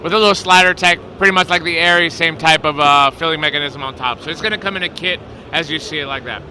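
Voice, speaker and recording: A man's voice: fairly high pitch, quick pace. Easygoing man with a Greek accent. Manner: easygoing, laughing, talks with a few slips. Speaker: industry representative and co-host. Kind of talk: casual chat. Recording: loud background noise.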